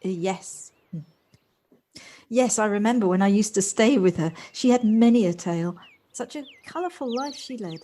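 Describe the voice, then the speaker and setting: A woman's voice: mid-range voice, moderate pace, mellow, close to an everyday voice. A woman acting a polite, slightly hesitant, well-spoken woman in an audio play, recorded at home. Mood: friendly, polite. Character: Jocelyn, polite warm woman settling into a house. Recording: home recording on personal device, faint noise.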